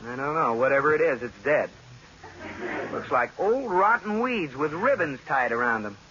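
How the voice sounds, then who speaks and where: An actor playing a boyish, easily flustered American husband in an old radio sitcom, emphatic and exclamatory. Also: male voice, on the high side, sing-song, moderate pace, bright, forceful tone, highs cut off. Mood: bemused, sardonic. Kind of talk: 1940s-50s radio sitcom acting. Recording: noisy, band-limited old radio recording.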